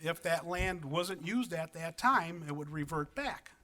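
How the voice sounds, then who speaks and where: Resident guy at a city meeting, bright kinda high voice, lots of ums and restarts. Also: expressive. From Wisconsin. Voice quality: big voice. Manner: earnest, halting, with many ums and restarts. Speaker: resident speaking at public comment. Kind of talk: public meeting comment. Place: council chamber.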